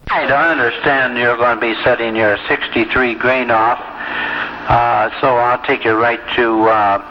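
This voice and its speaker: A man's voice: mid-range voice, steady tone, measured pace. Lo-fi radio voice of a male tower operator. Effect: two-way railroad radio. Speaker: railroad tower operator dispatching trains. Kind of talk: radio dispatch talk. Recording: noisy lo-fi scanner recording of radio traffic. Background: radio static and noise.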